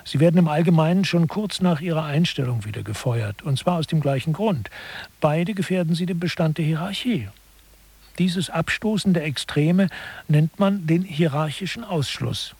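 Radio play lecturer, highish husky voice, explains mock theory very dry studio. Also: male voice, normal speed, darkish tone, no echo. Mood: explanatory. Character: male lecturer expounding mock-scholarly management theory. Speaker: narrator. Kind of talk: scripted satirical lecture narration.

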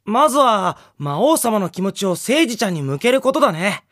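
Smug, lively teenage playboy. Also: male voice, high-pitched, normal speed, no echo, clean studio sound. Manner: suave, teasing, self-satisfied. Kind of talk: Japanese visual novel audio drama acting.

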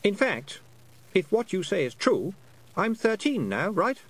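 A man's voice: sits high, very animated, a little slow, voice pushed up, exaggerated voice. A man reading aloud, voicing an anxious, chatty twelve-year-old boy who rambles and hesitates.

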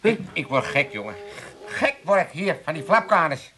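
High, swooping old Amsterdam man. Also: big pitch swings, moderate pace, noisy background. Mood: cranky. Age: older. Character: cranky, boozy old Amsterdam father with bad leg. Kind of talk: 1980s comic radio play acting.

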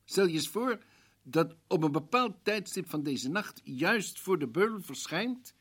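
A man playing an earnest, persistent professor in a Dutch radio drama, questioning in a deliberate, rhetorical way with a husky voice. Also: high voice, expressive, fairly bright tone, exaggerated voice. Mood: earnest, dramatic. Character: probing, compassionate male professor, earnest and persistent. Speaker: actor in a radio play.

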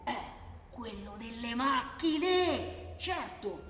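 A woman's voice: fairly high pitch, lively intonation, extremely slow, fairly bright tone, exaggerated voice. An actress playing an opinionated working-class Roman woman in a radio drama, talking colloquially and indignantly, with repetitions and pointed questions. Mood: knowing, sarcastic. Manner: conversational, emphatic, rhetorical questioning, repeats herself. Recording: echoey reverberant hall, clearly noisy.

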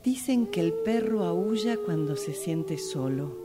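A woman's voice: on the low side, animated, takes it slowly. A woman reading a scripted narration for a radio drama exercise. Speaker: radio drama workshop participant. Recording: reverberant room, faint background noise.

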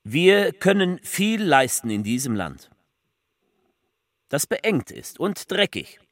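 An actor playing a fervent politician giving a theatrical speech, declamatory and emphatic, with deliberate pauses. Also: male voice, medium pitch, dry recording. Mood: solemn, emphatic. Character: fervent male politician delivering a rambling, faltering public speech.

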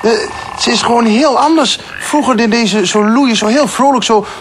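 A woman's voice: on the high side, normal speed, natural voice. A woman playing a plain-spoken farmer who rambles matter-of-factly about her cows in a mock phone-in sketch. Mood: earnest, puzzled. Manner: rambling, matter-of-fact, imitates cow sounds. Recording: noisy farm ambience with animals.

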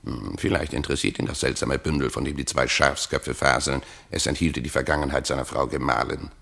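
An actor playing a pompous, hectoring rogue who poses as a haughty courtier in a theatrical radio play. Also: male voice, extremely low pitch, level tone, a little fast, vocal fry, a bit bright, full character voice. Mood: sly, scheming. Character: swaggering rogue posing as a haughty royal courtier.

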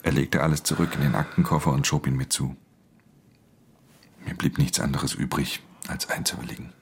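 A man's voice: a bass voice, steady tone, moderate pace, a little hiss or hum. An actor playing a reluctant man pressed into crime, telling his story in a terse, matter-of-fact way for a radio drama. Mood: subdued, resigned. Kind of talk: first-person radio drama narration.

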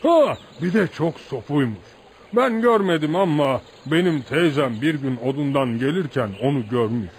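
Saygılı, hayranlıkla efsane anlatan köylü, gürültülü kayıt. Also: male voice, on the high side, moderate pace. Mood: excited, proud. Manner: animated folk storyteller, addresses listener as sir. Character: rustic village man, deferential, admiring teller of outlaw legends. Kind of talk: radio theatre acting. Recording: noisy radio drama recording.

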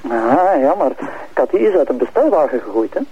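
A man's voice: mid-range voice, big pitch swings, brisk, slight background noise. Een man met Vlaams accent en hese stem belt naar de radio en stelt beleefd vragen. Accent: Flemish. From Dendermonde, Belgium. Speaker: listener calling in. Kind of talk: polite questioning on radio. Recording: muffled telephone line.

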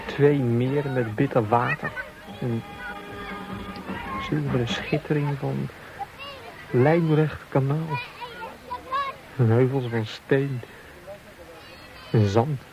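Slow, insistent bitter soldier. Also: male voice, mid-range voice, fairly even intonation, gravelly, over music. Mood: bitter, obsessive. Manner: insistent, repetitive phrasing. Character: bitter, obsessive male soldier tending his gun. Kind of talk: poetic radio play monologue. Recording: noisy radio play recording.

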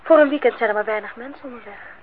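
An actress playing a lively young woman in a radio play, direct and chatty yet polite. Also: a little high, quick pace, natural voice. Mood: matter-of-fact. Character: lively, frank young woman visiting an older acquaintance. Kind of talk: Dutch radio play acting. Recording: noisy, tinny old radio recording.

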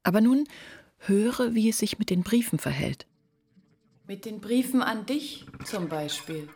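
An actress playing an earnest village woman confiding family secrets in a radio play, speaking deliberately and at times dropping to a near-whisper. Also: mid-range voice, lively intonation, mellow, slightly rough, no echo, clean studio sound. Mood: explaining.

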